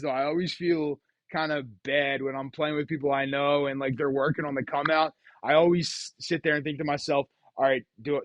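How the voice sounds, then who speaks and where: A man's voice: on the high side, dry recording, muffled recording. A man chatting casually and telling gambling stories as the host of the show. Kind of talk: casual chat, storytelling about craps.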